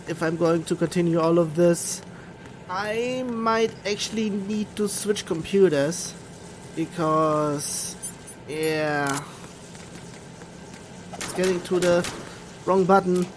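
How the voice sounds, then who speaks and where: Slow, halting woman commentating a game. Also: fairly low pitch, a little mellow, a little hiss or hum. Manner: halting, thinking aloud. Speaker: game streamer. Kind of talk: casual live playthrough commentary.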